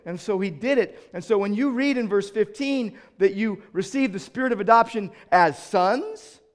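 High-voiced man preaching with emphasis. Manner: emphatic preaching, swings between loud declarations and hushed asides; repeats words when starting sentences. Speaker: pastor. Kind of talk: sermon.

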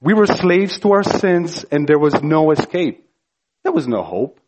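A man's voice: on the high side, sing-song, noisy background. Earnest Croatian-accented preacher man. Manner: earnest, slow and emphatic, rising to fervent. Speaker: pastor. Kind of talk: sermon.

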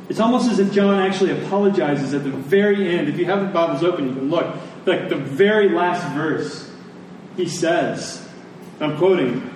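A man preaching a sermon in a conversational, deliberate way, telling stories and explaining scripture, pausing and repeating phrases for emphasis. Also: sits high, a little mellow. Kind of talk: sermon with storytelling and scripture exposition. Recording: echoey room, distant mic, faint noise.

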